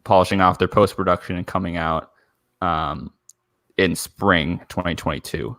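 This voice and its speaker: A man's voice: low voice, fairly even intonation, normal speed, fairly bright tone, no room sound, clean studio sound. A man co-hosting a podcast in casual discussion, speaking informally and giving his opinions freely. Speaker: podcast co-host.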